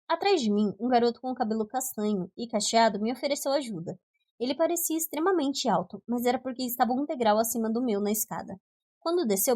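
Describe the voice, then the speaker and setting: A Brazilian woman with a somewhat high-pitched voice reads a novel aloud. She narrates in the first person from a script, in an expressive storytelling way suited to an audiobook. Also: no echo, clean studio sound. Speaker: audiobook reader.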